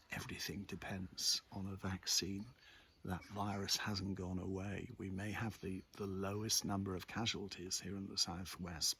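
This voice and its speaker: A man with a husky, gravelly voice chats casually to his followers as host, in a halting, reflective way with pauses. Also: low voice, a bit bright. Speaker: host of a local chat vlog. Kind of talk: rambling casual morning chat to followers. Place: outdoors in a churchyard.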